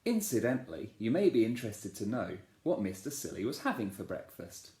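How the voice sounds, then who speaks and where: A man, a teacher, reading a children's storybook aloud in a playful, expressive way, asking the listeners questions. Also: on the low side, fairly quick. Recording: echoey room.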